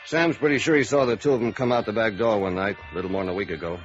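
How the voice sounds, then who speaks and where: An actor playing a guarded, middle-aged American police sergeant in 1950s radio noir, clipped and deadpan. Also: male voice, fairly low pitch, racing, lightly acted. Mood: businesslike, dry. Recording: dull vintage radio recording, faint music.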